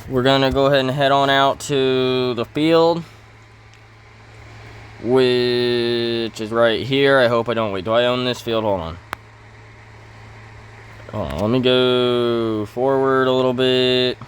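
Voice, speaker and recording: A man giving casual role-play gameplay commentary in a halting way, thinking aloud as he hosts. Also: medium pitch, steady tone, a little hiss or hum. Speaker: gaming video host.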